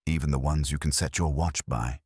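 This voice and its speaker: A man playing a wry, brisk young private detective who talks in dry wisecracks, in an audiobook mystery. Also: extremely low pitch, fairly even intonation, a little mellow, husky, no room sound. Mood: wry, probing.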